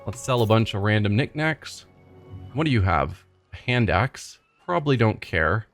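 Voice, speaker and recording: A man hosting a let's play, reading game text aloud and musing in a relaxed, chatty way. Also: fairly low pitch, moderate pace, faint music behind. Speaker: gaming channel host.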